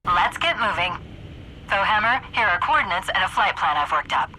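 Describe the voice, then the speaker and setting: Casting: woman to voice female military AI for a sci-fi game, articulate, confident, analytical, mid pitch. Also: expressive, normal speed, noisy background, lightly acted. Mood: confident, wry. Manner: crisp, articulate, briefing-like delivery. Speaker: tactical AI companion. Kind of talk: video game cutscene acting.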